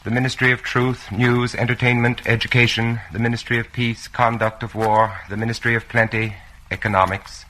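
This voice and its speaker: A man's voice: medium pitch, level tone, normal speed, sharp tone, slight background noise, close to an everyday voice, rough recording. A man playing an obedient, uneasy party worker in a mid-century radio drama, answering in a guarded, dutiful way. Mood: dutiful, submissive.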